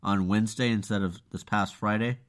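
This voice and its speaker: A podcast host with a cold, sounding nasal and congested, chatting bluntly and offhand. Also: male voice, fairly low pitch, steady tone, fairly quick. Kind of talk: casual chat.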